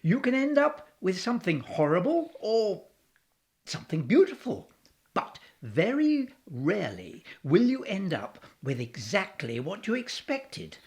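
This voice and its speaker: A woman playing a philosophical, resolute female scientist in a radio play, with a measured, lecturing manner. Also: mid-range voice, big pitch swings, darkish tone.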